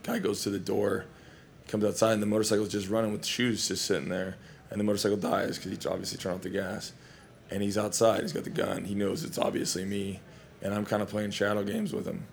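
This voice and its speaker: A man from Hawaii, an actor, answering questions at a Q&A in loose, rambling, animated anecdotes. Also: lowish voice, fairly quick, vocal fry. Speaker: actor and film guest at a Q&A. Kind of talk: casual Q&A storytelling.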